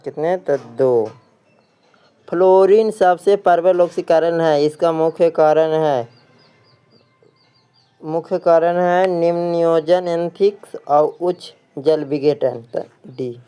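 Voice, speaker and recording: A man's voice: a little high, dark tone, clean tone. A man with an Indian accent teaching for an exam, reading quiz questions and answers aloud and often repeating them.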